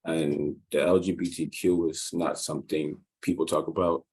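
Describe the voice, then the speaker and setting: A man's voice: very deep voice, little pitch movement, normal speed, a little mellow, husky. A young man from Cameroon, a student, speaking plainly and reflectively in a class discussion.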